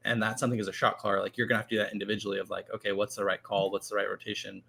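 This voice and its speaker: A man guesting as a team shot caller, talking loudly in a rambling, filler-heavy casual way. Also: on the low side, flat delivery, rapid-fire, gravelly, slightly rough. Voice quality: loud voice. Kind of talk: casual panel chat.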